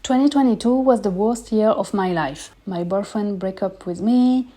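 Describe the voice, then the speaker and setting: A woman in her thirties with a French accent, talking candidly and earnestly in English that is not her first language, with little grammar slips. Her voice is mellow and soft-edged, sitting at an ordinary middle pitch for a woman. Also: normal speed. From France. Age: 30s. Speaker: podcast host. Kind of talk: personal podcast monologue.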